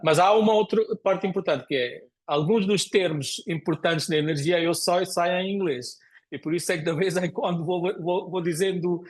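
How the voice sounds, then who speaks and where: A man, speaking politely and carefully in a language that is not his first, as a guest speaker on a panel. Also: a little high. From India. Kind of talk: panel answer.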